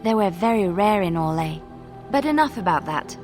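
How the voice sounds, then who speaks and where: Gentle young bard actress. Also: female voice, medium pitch, expressive, moderate pace, soft background music, natural voice. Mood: wistful. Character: gentle, wistful young female bard raised abroad. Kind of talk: video game dialogue acting.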